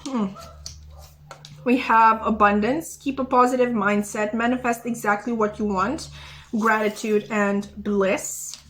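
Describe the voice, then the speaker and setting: A young woman with a slightly high voice gives a chatty tarot reading straight to her viewers. She rambles, trips over her words and fills the gaps with ums and little giggles, all in an easy, casual tone. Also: fairly even intonation, unhurried, fairly bright tone. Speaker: tarot reader.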